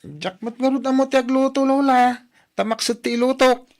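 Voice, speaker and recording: A woman's voice: sits high, moderate pace, darkish tone. A woman plays a lazy young grandchild in an Ilocano radio drama. The voice is sulky and defiant, whining and grudging as it answers back. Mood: whiny complaining.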